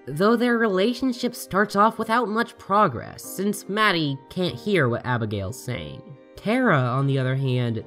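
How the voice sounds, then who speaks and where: An articulate reviewer narrates a scripted essay in a mid-range, androgynous voice. The intonation moves around in a lively way. Soft music plays underneath the narration. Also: medium pitch. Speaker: video game reviewer. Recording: voice over background music.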